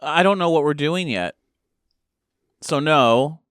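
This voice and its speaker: Man role-playing with lively pitch. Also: slow, smooth, no echo, studio quality. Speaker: tabletop game player. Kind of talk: improvised in-character role-play and questions.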